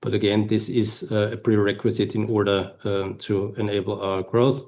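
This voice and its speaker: Low-voiced, halting man presenting financial results. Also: little pitch movement, a little mellow. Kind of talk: earnings call presentation and answers.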